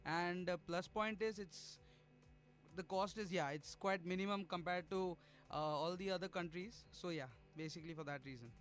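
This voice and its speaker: Friendly young synth player from Bangladesh. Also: male voice, high voice, moderate pace, fairly bright tone, soft background music. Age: young adult. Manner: friendly, laughs lightly, many fillers. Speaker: student band synth player. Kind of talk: radio interview guest.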